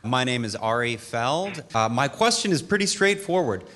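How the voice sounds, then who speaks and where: A man asking a plain town hall question from the audience in a direct, measured way. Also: mid-range voice, expressive, fairly bright tone.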